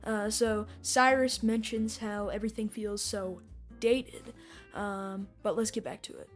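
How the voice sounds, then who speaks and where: A woman hosting a podcast with casual review commentary in a halting, hesitant delivery. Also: mid-range voice, fairly even intonation, soft background music. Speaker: podcast host.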